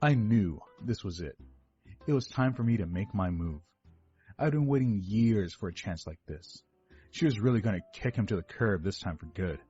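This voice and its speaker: An actor playing an overweight eighteen-year-old rich kid giving a rambling, defensive first-person confession to police. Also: male voice, on the low side, expressive, normal speed, mellow, slightly rough, muffled recording. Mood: rambling, confiding. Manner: rambling, self-correcting, defensive. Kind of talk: dramatic first-person monologue reading. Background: background music.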